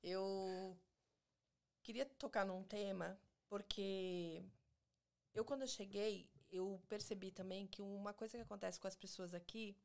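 A woman's voice: mid-range voice, fairly even intonation, unhurried, a little mellow. A Brazilian woman in her forties, hosting a podcast with casual, conversational questions. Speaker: podcast host. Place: small podcast studio. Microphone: close studio microphone on table stand.

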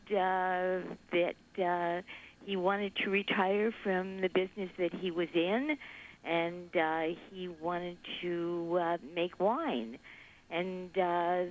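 An older woman, a winery owner, speaking haltingly with many pauses and fillers as an interview guest. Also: fairly low pitch, fairly even intonation, muffled recording. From Southern California.

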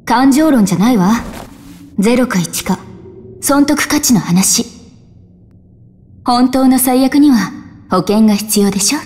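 A woman's voice: on the high side, a little slow, long pauses, a little mellow, close to an everyday voice. An actress voicing a cool, enigmatic young woman in a video game, rational and detached in manner. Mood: calm, detached. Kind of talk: Japanese video game voice acting. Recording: long reverberant hall echo.

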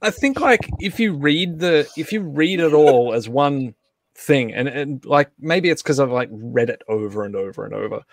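A man hosting a casual live online group chat, thinking aloud in a halting way. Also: medium pitch, gravelly, no echo. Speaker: host of a live online table read. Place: indoor room on a group video call.

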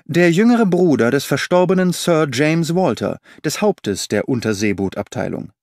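A man doing a sharp, confident detective. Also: a little high, sing-song, normal speed, dry recording, studio quality, pitched above the performer's own voice. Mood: wry.

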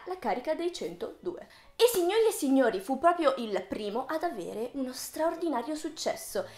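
Expressive young woman, high roomy voice. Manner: expressive, playfully theatrical. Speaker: video host. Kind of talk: scripted video essay, chatty commentary. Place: small room with bookshelves, indoors. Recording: roomy, reverberant indoor sound.

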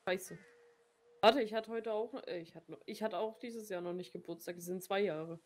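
A woman's voice: mid-range voice, normal speed. A woman in her thirties chatting casually in a game roleplay, swearing lightly and laughing often. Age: 30s.

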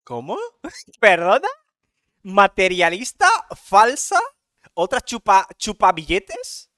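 A man's voice: sits high, very animated, slow, sharp tone, no echo, studio quality. A young man on a headset mic, reacting to a dating show with teasing, sarcastic commentary. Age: young adult. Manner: teasing, sarcastic, laughs often. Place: indoor room.

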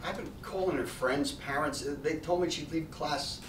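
A man's voice: on the high side, moderate pace, lightly acted. A man playing an anguished, cynical father with a short fuse in a staged drama reading. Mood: worried, hesitant. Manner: hesitant, then explosive. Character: anguished, cynical father, quick to explode in anger. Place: theatre stage, indoors. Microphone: far camera. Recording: distant, echoey stage with background noise.

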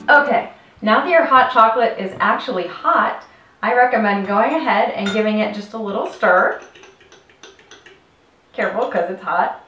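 A cheerful woman in her thirties walks the listener through a recipe, speaking straight to them like a coach. Her voice sits at an ordinary middle pitch and is picked up from a few steps away, so the kitchen's hard walls add a roomy echo. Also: moderate pace, long pauses, far from the mic. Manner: upbeat, instructional, addresses viewer directly. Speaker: health coach. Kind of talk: cooking demonstration. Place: home kitchen, indoors. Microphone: camera mic at a distance.

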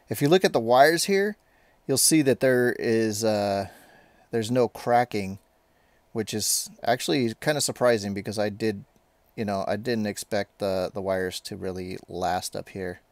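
A man giving a casual hands-on update as a video host, talking in an informal, halting, rambling way. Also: fairly low pitch, no room sound. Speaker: tech video maker, host.

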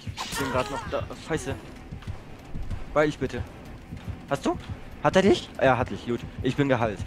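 A man's voice: medium pitch, lively intonation, unhurried, music in the background. A young man playing a horror game with a friend, chatting casually and swearing, yelping when he gets chased. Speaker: gamer co-op player. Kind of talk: casual let's play chat.

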